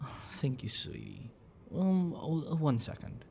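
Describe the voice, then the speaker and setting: A man voicing an affectionate, protective, bashful dragon boyfriend in a soft, breathy, hesitant ASMR roleplay. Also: on the high side, huge pitch swings, slow, background noise, full character voice. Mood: tender, caring.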